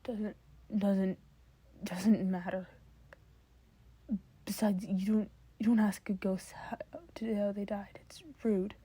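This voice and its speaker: This is a guarded teenage half-ghost boy in a story , voiced by a woman reader. The voice is very husky and mellow. He speaks haltingly, awkward and hesitant, often repeating a word before going on. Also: medium pitch, flat delivery. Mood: defensive.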